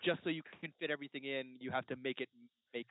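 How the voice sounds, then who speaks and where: Tinny phone-like audio, halting man chatting. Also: mid-range voice, steady tone. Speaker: podcast panelist. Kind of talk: casual chat. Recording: muffled narrow-band phone-like audio.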